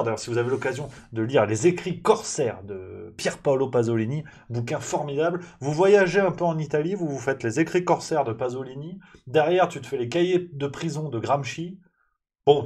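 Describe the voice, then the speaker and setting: A male talk-show host chatting off the cuff, wandering from point to point and stopping to fix his own words. He builds small rants into loud mock fury, swearing as he goes. His voice sits at an ordinary middle pitch for a man. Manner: ranting, swearing, mock outrage; rambling, self-correcting. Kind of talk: casual livestream chat.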